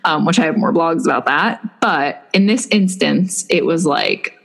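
A woman's voice: sits low, lively intonation, a little slow, gravelly, fairly bright tone. A young woman in casual chat, telling a personal story in a rambling way with many fillers and restarts. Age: young adult. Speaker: blogger recounting personal experience.

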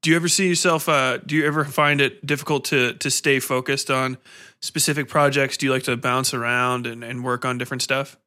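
A man's voice: mid-range voice, steady tone, a little fast, bright, forceful tone. A man hosting a podcast interview in a casual, informal and encouraging way, tending to ramble.

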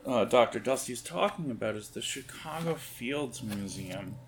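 A man improvising narration as game master of a tabletop game, with a halting, descriptive delivery. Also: mid-range voice, animated, music in the background.

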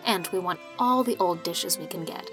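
A woman's voice: lowish voice, moderate pace, music in the background, natural voice. A woman voicing a gossipy, opinionated middle-aged village matron who chatters on. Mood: chatty.

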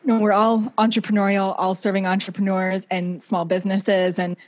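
A woman's voice: mid-range voice, flat delivery, normal speed. Woman hosting a podcast. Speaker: podcast host. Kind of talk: conversational podcast interviewing. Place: remote video call over Zoom.

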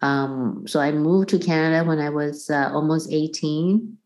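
Low-voiced woman from Taiwan, explaining thoughtfully. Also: fairly even intonation, measured pace, a little mellow, low-quality recording. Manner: thoughtful, explains with examples and restarts. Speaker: guest, percussion professor. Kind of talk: conversational interview.

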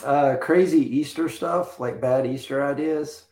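A man's voice: on the high side, unhurried, darkish tone. A man guesting on a talk show, chatting easily and laughing readily. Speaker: guest, ministry leader. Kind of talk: casual conversation. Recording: roomy sound with faint noise.

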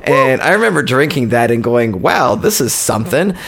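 A man, co-hosting a podcast, telling a long, halting story in casual chat. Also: mid-range voice, big pitch swings, gravelly, a bit bright. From born in Poland, raised in Canada. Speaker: podcast co-host.

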